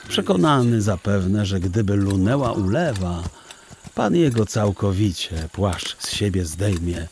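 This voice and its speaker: A man with a fairly low voice tells a literary story as its narrator. His delivery is unhurried, solemn and expressive, with faint noise behind it.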